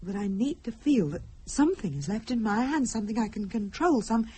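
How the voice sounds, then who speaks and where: An overwrought British wife in a radio play. Her voice is very breathy and husky, fairly high and lively, fretful and pleading for some control. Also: fairly quick. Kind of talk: British radio drama acting.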